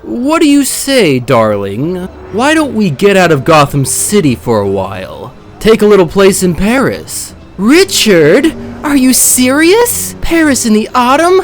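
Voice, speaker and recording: A woman acting female roles in a comic book dub, from anxious wife to sly thief, in a measured, dramatic way. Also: mid-range voice, big pitch swings, soft background music. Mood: coaxing.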